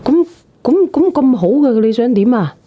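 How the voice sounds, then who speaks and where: A woman's voice: fairly high pitch, expressive, normal speed, phone-quality sound, slight background noise. A woman with a Cantonese accent hosting a phone-in, bluntly prodding a caller with casual questions. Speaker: phone-in show host.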